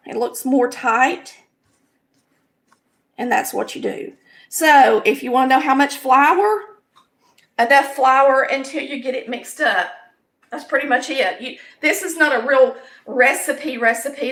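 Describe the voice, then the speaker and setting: A woman with a Southern US accent and a raspy, hoarse voice that sits a touch high. She talks folksily and explains every step as she cooks in her home kitchen, sounding middle-aged. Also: a bit bright. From American South. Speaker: home cooking show host. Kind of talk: casual cooking demonstration.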